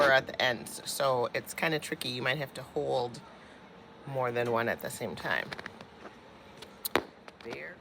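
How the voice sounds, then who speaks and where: Woman teacher doing storytime for kids, really expressive n bright, slows way down on phrases, bit of background noise. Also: deep voice, creaky. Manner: expressive, drawing out refrains, addressing children. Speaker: teacher reading aloud to her class. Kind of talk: animated children's picture-book read-aloud.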